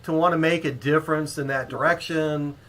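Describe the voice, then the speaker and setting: A man's voice: fairly high pitch, steady tone, fairly quick, a bit bright. A man co-hosting a livestream, chatting casually, rambling and joking as he introduces a guest. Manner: rambling, joking, self-correcting. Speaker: co-host, coach. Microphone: headphones.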